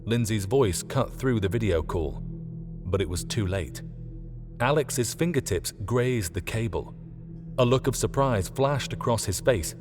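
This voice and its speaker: A man reading a scripted story as an audiobook narrator, in a dramatic storytelling style. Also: lowish voice. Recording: long reverb, music underneath. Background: background music.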